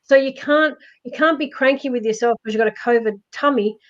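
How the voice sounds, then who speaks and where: A woman with a loud voice, giving emphatic, reassuring life advice in a casual live-stream chat. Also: fairly high pitch, brisk. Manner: emphatic, reassuring, repeats herself. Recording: dull lo-fi stream audio.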